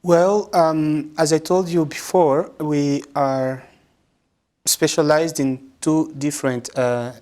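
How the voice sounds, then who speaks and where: A man from Congo, answering interview questions as a religious brother and psychologist, speaking in a measured, thoughtful way with many pauses and fillers. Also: on the high side, fairly even intonation, a little mellow.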